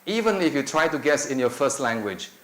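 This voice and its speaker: Middle-aged Indonesian lecturer, native Indonesian speaker, average pitch, chatty with filler pauses; echoey room with faint hiss. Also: male voice, big pitch swings. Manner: conversational, with many filler pauses. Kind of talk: conference talk. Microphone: handheld mic.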